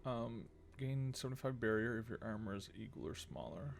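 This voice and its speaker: A man's voice: mid-range voice, lively intonation, a little fast, vocal fry, a little hiss or hum. A man giving casual live gameplay commentary as a streamer, thinking aloud and muttering to himself. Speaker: game streamer. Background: game music.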